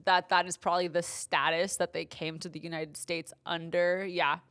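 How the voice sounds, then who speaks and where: Chatty laid-back woman, low voice. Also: little pitch movement, moderate pace, fairly bright tone. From Glendale, California. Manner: chatty, laughs easily, lots of "like". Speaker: podcast guest. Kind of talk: casual chat.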